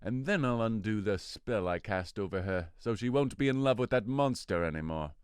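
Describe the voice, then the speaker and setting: A man's voice: on the low side, animated, normal speed, clean tone, no echo, clean studio sound, lightly acted. A man playing a commanding fairy king in an audio drama, speaking with theatrical authority and deliberation. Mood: commanding, scheming. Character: commanding fairy king, a lordly forest spirit. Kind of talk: audio drama acting of modernized Shakespeare.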